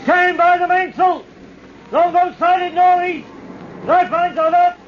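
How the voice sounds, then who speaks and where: An urgent ship's sailor calls out orders in a very high, strained shout. It is old radio drama, muffled and faintly noisy. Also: male voice, steady tone, unhurried. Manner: shouting, calling out. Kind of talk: old-time radio sea drama acting.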